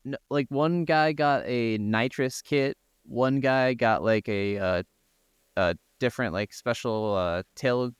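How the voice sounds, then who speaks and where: A man's voice: medium pitch, takes it slowly, clean tone, no echo, studio quality. A man chatting casually as co-host of a gaming podcast, telling things in an informal, meandering way. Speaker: video game podcast co-host.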